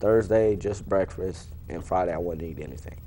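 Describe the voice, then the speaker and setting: A young man with a fairly low voice answers interview questions as an athlete. His delivery is informal and plain-spoken, in a casual conversational style. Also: moderate pace, warm tone, slight background noise. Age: young adult. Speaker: wrestler being interviewed.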